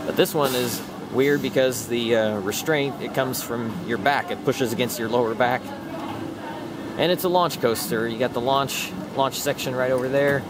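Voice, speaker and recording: A man's voice: mid-range voice, moderate pace, background noise. A man narrating a casual, off-the-cuff vlog outdoors on a handheld camera, in short matter-of-fact remarks. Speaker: theme park vlogger. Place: outdoors in an amusement park. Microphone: handheld camera mic.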